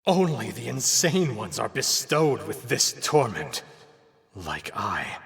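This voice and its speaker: Man acting a tormented, slow-spoken cursed soldier. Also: mid-range voice, big pitch swings, gravelly, a bit bright, breathy edge, dry recording, studio quality, full character voice. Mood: bitter, anguished. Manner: melodramatic, brooding, halting. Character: tormented cursed young soldier turning werewolf, self-loathing, anguished. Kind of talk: gothic visual novel voice acting, dramatic monologue.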